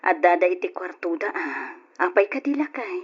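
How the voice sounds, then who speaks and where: Casting: worried, dutiful Ilocano wife in vintage radio drama; highly animated pitch, muffled recording. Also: female voice, normal speed, husky. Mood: meek, complaining. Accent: Filipino, Ilocano. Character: anxious, dutiful Filipina wife, soft-spoken but doubting. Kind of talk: old-fashioned radio drama acting.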